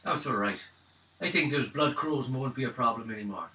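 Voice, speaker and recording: A man's voice: medium pitch, fairly quick, bright, forceful tone, close to an everyday voice. A man voicing a wry, knowing fantasy stranger who muses in riddles in a tabletop game. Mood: wry, cryptic. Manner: cryptic, musing. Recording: roomy far-off tabletop recording, faint noise.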